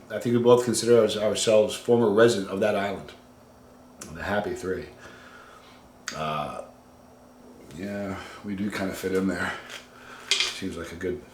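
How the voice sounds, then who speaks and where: A man casually hosting a livestream and reading out viewer comments, halting and conversational, trailing off quietly at the ends of phrases. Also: on the low side, slight background noise. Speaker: host of a hot sauce challenge channel. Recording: roomy, echoey room sound.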